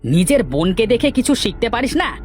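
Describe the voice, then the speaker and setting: A woman's voice: fairly high pitch, very animated, brisk, faint music behind. A woman voicing a sly, envious village man in a cartoon moral story, scornful and bossy. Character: sly, grudging village men, scheming and envious.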